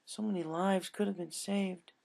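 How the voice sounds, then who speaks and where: A narrator voicing a kindly, apologetic female pony shopkeeper with a soft, polite, motherly manner in a story. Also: mid-range voice, steady tone, normal speed, gravelly, voice pushed up. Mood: regretful.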